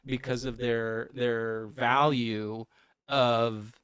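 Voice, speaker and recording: A man's voice: medium pitch, little pitch movement, very slow, fairly bright tone, clean tone, no echo. A man hosting a podcast interview, chatty and rambling, often restarting his sentences. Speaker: podcast host and coach. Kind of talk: casual interview.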